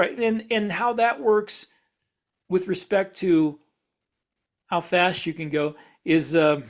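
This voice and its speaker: Higher-pitched man explaining ideas casually. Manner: explanatory, thinking aloud. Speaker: podcast co-host. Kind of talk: casual discussion of speculative science for fiction.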